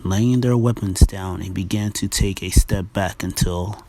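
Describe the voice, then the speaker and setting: A man's voice: deep voice, measured pace, a little hiss or hum. A man narrating a scripted science-fiction story for an audio drama. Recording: very loud music bed over narration.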